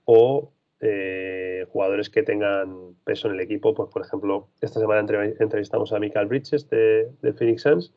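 A man's voice: fairly low pitch, fairly even intonation, normal speed, hardly any pauses. A man who works as a freelance basketball reporter, answering interview questions in a thoughtful, rambling way with frequent restarts. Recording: dull lo-fi sound.

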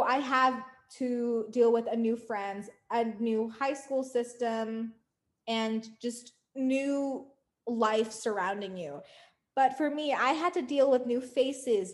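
A young woman from the United States co-hosts a casual podcast. Her voice sits a little high, and she speaks earnestly, stressing each point as she stacks them up. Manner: earnest, emphatic, lists points with rising force. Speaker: podcast co-host.

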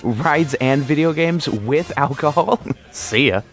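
A man's voice: fairly high pitch, expressive, takes it slowly, soft background music. A man playing a casual, easily tempted son in an audio drama, in an everyday voice.

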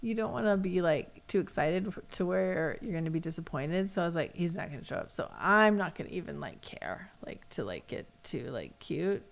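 A woman's voice: fairly low pitch, normal speed, vocal fry, hardly any pauses. An American woman from the West Coast, a co-host chatting casually and telling personal stories, acting out what people said. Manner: animated storyteller, acts out quoted remarks.

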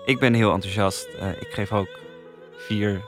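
A man with a Netherlands Dutch accent chats informally as a podcast panelist. He has a slightly low voice with faint music in the background. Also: fairly even intonation, measured pace. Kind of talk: casual chat. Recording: music bed behind the voice.

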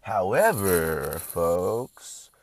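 A man's voice: deep voice, sing-song, extremely slow, a little hiss or hum. A young man vlogging casually with a stuffy, congested nose, rambling and swearing often with lots of restarts.

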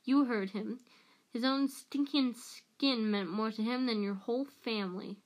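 Woman playing a slow, furious, vengeful man. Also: on the high side, close to an everyday voice. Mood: vengeful, snarling. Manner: slow, forceful, accusing delivery. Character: vengeful adult man, furious, bitter and accusing.

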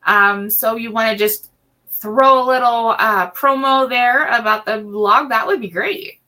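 A woman's voice: fairly high pitch, measured pace, bright, forceful tone. A middle-aged woman co-hosting a podcast, chatting in a rambling way with many restarts and ums. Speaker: co-host and assistant. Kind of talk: casual podcast chat.

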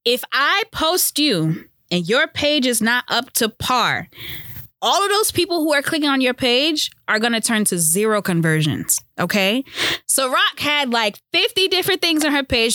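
Podcast co-host lady, bright voice, emphatic, dry close sound. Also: high-pitched, unhurried, no echo. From Haitian. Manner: animated, emphatic, talks over others. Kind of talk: casual chat.

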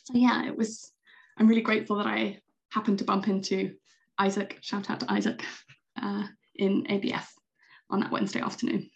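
A young woman with a British accent talks through her work in an enthusiastic, chatty way, filling pauses with ums. Her voice carries a noticeable vocal fry at mid pitch. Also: level tone, lo-fi sound. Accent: British English. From Britain. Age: young adult. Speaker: secretary general of a youth organization. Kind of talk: podcast interview answers.

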